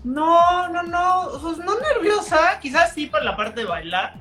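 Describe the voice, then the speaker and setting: A woman's voice: extremely high pitch, animated, measured pace, fairly bright tone, reverberant, soft background music. A woman chef with a Mexican accent chatting as a guest, laid-back and full of slang. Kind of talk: casual chat.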